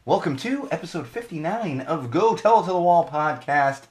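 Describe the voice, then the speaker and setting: A man hosting a podcast live, slightly hoarse from losing his voice, talking in a chatty, rambling way and correcting himself as he goes. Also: on the high side, lively intonation, moderate pace, a little mellow. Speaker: podcast host. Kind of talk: live podcast monologue with plugs.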